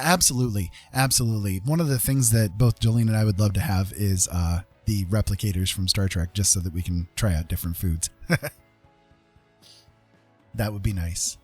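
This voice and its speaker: Casting: American male streamer, husky, slightly deep, chatting casually with stop-start repetitions over quiet music. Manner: halting, often repeats words. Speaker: livestream host. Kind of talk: casual livestream chat.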